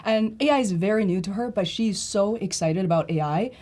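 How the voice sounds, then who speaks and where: A woman's voice: medium pitch, fairly quick, darkish tone. A woman in her thirties, a guest on a livestream, talking in an upbeat, fluent and enthusiastic way. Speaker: livestream guest, content creator. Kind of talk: casual livestream chat.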